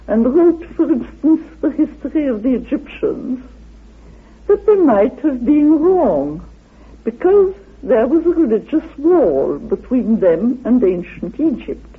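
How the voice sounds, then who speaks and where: Slow, husky, expressive woman on radio. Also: sits high. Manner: deliberate, dramatic, with rhetorical questions. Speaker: interviewed guest researcher. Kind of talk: spoken lecture-like explanation. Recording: narrow phone-like old radio recording.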